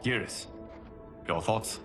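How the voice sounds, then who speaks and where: An actor playing a noble, burdened god and protector in a video game, speaking in a grave, formal, earnest way. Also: male voice, slow pace. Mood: grave, questioning. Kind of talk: dramatic video game cutscene acting. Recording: loud music behind the voice. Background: music.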